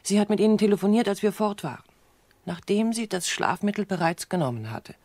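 An actress playing a devoted daughter under suspicion in a radio drama, anxious and defensive, with pleading questions. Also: female voice, mid-range voice, expressive, brisk, darkish tone, no room sound. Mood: troubled. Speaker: doctor's suspected patient's daughter.

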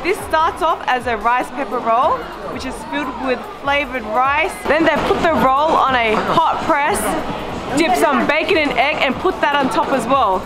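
A woman's voice: high voice, moderate pace. A woman in her thirties from Australia, describing street food in a casual vlog at a night market. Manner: describes food in detail, savoring bites. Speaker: food vlogger host. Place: busy night market, outdoors. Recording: loud crowd and music behind. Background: loud crowd and music.

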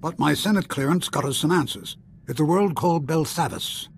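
A man's voice: a little high, fairly quick, vocal fry, slightly rough. A man playing a dignified, earnest middle-aged father and senator in a video game, formal and reassuring.

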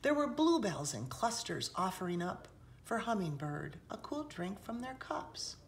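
A woman reading a picture book aloud expressively, with dramatic hushed passages and emphatic rises, recorded indoors by a camera. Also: medium pitch, sing-song, measured pace. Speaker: children's author reading aloud. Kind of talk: expressive storybook read-aloud. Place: indoors, seated on a sofa in a room.

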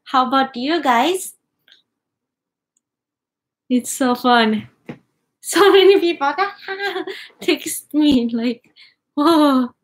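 High, slow-talking Japanese woman streaming. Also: animated, a little hiss or hum. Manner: cheerful, reads viewer comments aloud and reacts with exclamations. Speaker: YouTuber. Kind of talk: live stream chat reading comments. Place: small indoor room with wooden cabinets.

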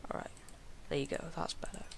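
A man narrating his own gameplay in a casual, mumbling way, sounding croaky from a cold and talking to the animals on screen. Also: background noise. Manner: slow, mumbling, talks to the animals. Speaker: gaming video creator.

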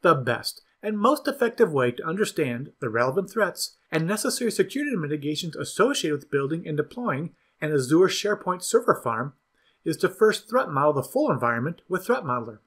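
A man narrating a scripted software tutorial in an even, instructional way. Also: fairly high pitch, a little fast, slightly rough. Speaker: product demo narrator.

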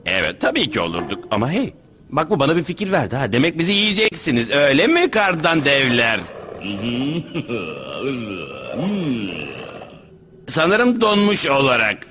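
Cartoon pirate captain, scheming and blustering, theatrical, very lively pitch swings. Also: male voice, measured pace, pauses often, a little hiss or hum, full character voice. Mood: sly, scheming. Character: crazy pirate captain, boastful, scheming, easily exasperated man. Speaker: cartoon voice actor. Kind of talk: animated cartoon dub acting.

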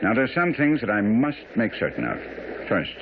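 Shrewd bossy victorian detective guy, low voice, noisy muffled old radio drama. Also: sing-song, normal speed. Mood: grave, insistent. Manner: incisive, authoritative questioning. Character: shrewd, commanding male Victorian consulting detective. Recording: noisy, band-limited old radio broadcast.

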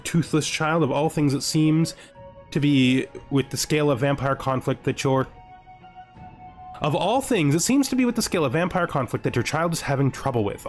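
Man doing game commentary over music. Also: mid-range voice. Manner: thinking aloud, asking himself questions. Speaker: let's-play host. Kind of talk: casual strategy game commentary, reading event text aloud.

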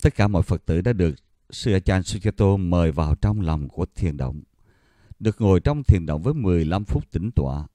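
A man narrating a pilgrimage video in a solemn, measured, reverent voice-over. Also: low voice, lively intonation, dark tone, no echo. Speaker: documentary narrator.

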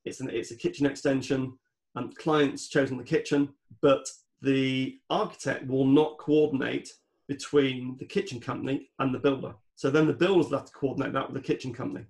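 A man's voice: medium pitch, steady tone, brisk, darkish tone. A man speaking as a guest expert on a podcast, explaining things in a methodical, instructive way. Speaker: guest expert on home renovation project management.

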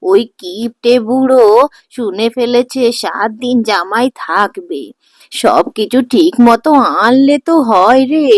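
A woman's voice: fairly high pitch, no room sound. A woman voicing fussing, affectionate village family women in a cartoon folk tale. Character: doting, fussing mother-in-law and her chiding married daughter.

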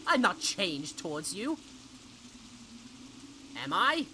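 A woman's voice: mid-range voice, sing-song, slow, background noise. A teenage girl playing a proud, defensive young Victorian businessman in a radio drama, indignant and formal.

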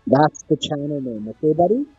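Man, from Canada, gaming YouTuber, husky, upbeat slang, dull lo-fi audio. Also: on the high side, very animated, warm tone, no echo, soft background music. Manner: upbeat, slangy, repeats himself slowly to a non-English speaker. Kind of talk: casual chat with an online gaming opponent.

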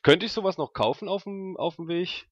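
A man's voice: high-pitched, fairly quick, dry recording, highs cut off. A man playing a tabletop role-playing game, chatting casually and working through rules and numbers aloud.

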